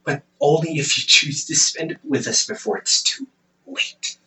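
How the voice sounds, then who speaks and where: A young man playing a protective, weary half-demon older brother in a dramatic audio roleplay, pleading and exasperated with emphatic, halting delivery. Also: on the high side, sing-song, bright, forceful tone, far from the mic, slight background noise, heavily acted, poor audio.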